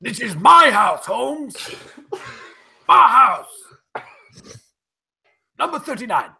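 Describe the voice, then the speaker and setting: A man playing a testy, exasperated lord with a raspy, creaky voice in a hammy old-time radio parody. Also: very high voice, big pitch swings, drawn-out words, bright, forceful tone, exaggerated voice. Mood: emphatic.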